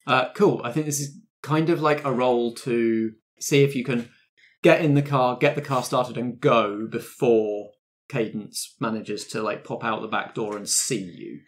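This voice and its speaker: A British man runs a tabletop role-playing game as its host. He talks casually, moving between narrating scenes and explaining rules, in a medium-pitched voice. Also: steady tone, measured pace. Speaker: game master and podcast host.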